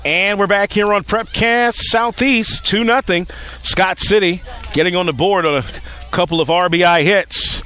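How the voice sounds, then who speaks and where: A man calling live softball play-by-play as a radio-style sports announcer, outdoors at a ballfield. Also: sits high, a little slow.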